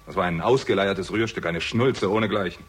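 A hard-boiled man with a low, rough-edged voice, sardonic and quick to temper. His dry, biting sarcasm suits a 1960s German radio crime play. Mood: angry, accusing. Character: sardonic, hot-tempered hard-boiled talent agent and amateur sleuth. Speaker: lead actor and first-person narrator.

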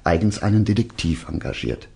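An actor playing a self-assured male detective in a German radio drama, teasing out his revelations with deliberate, suspenseful storytelling. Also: lowish voice, animated, gravelly, dark tone, husky, exaggerated voice. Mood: theatrical, teasing.

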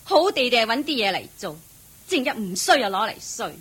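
Radio drama: sulky, dismissive mother, piercing high voice, scolding manner, Hong Kong Cantonese. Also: sing-song, moderate pace, a bit bright, breathy edge, heavily acted. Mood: cross, scolding. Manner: snappish, dismissive. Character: sulky, grumbling, scornful village wife and mother.

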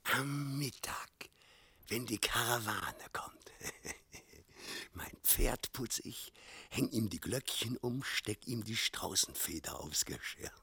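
An actor playing a scrawny old coachman in a German radio play, morbid and wheedling, theatrical, with a gravelly, breathy voice. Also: mid-range voice, lively intonation, bright, forceful tone, no echo, whispered, exaggerated voice. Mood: sly, musing. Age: older. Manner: theatrical, chuckling, slow and swooping.

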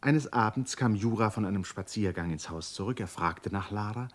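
Male narrator for radio play, very low voice, measured and serious literary delivery.

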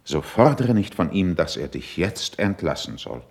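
A man acting a composed, persuasive courtier in a classical verse radio play, with a husky voice and a deliberate, courteous, emphatic delivery. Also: low voice, very animated, darkish tone, full character voice. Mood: cautioning, persuasive. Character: composed, diplomatic male courtier, persuasive and cautious.

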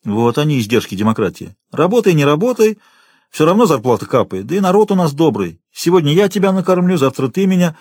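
A man's voice: on the high side, big pitch swings, fast, a little mellow, dry recording, studio quality. A man reading a first-person literary story as an audiobook narrator, expressively shifting tone as he goes.

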